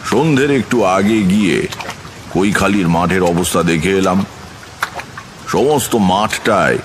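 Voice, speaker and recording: Deep, slow male horror storyteller. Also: noisy background. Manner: measured, literary. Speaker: storyteller and lead narrator. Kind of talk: scripted horror story narration in the first person.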